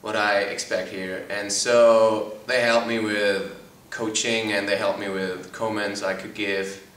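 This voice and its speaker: A man's voice: fairly low pitch, little pitch movement, slow, a bit bright. A young man from Germany, a college soccer player giving plain interview answers with fillers. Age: young adult. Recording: echoey room, mic far off.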